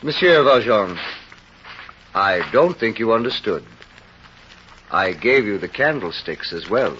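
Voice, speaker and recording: An actor playing a gentle, solemn old bishop in a vintage radio drama, with a husky voice and measured delivery. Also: male voice, fairly low pitch, animated, slight background noise. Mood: kindly, calm. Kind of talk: 1930s radio drama acting. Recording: muffled old radio broadcast recording.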